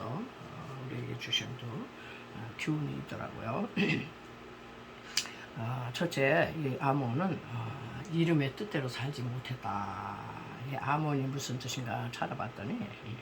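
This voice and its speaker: A male pastor preaching a sermon, hoarse from a cold, talking haltingly with many fillers and pauses. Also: medium pitch, background noise. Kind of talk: sermon with prayer.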